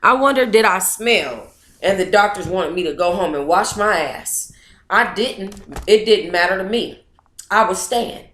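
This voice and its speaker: A woman hosting a book club, reading a memoir aloud in a casual, expressive way and chatting with her listeners. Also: mid-range voice, a little slow, roomy sound. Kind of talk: casual reading of a memoir, then chat with viewers.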